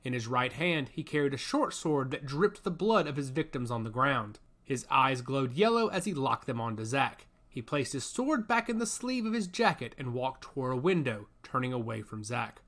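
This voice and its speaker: A man's voice: mid-range voice, sing-song, normal speed, few pauses, a bit bright. A man reading scripted fiction as a narrator in a dramatic storytelling style. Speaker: audiobook narrator.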